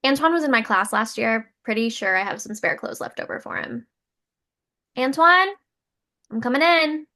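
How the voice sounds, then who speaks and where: An actress playing an eager, earnest young schoolteacher in a comedy table read, perky and animated. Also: female voice, a little high, normal speed. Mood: helpful.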